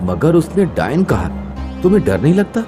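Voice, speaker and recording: An actor playing a young male train passenger in a Hindi animated horror story, nervous and expressive. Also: on the high side, moderate pace. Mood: nervous, uneasy. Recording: music under the dialogue. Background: music.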